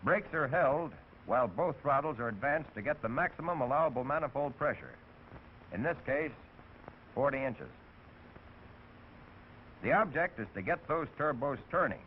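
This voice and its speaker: A man's voice: a little high, lively intonation, moderate pace, long pauses. A man narrating technical procedures step by step in a scripted 1940s training film. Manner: methodical, step-by-step. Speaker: instructional narrator. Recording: tinny, hissy 1940s film soundtrack.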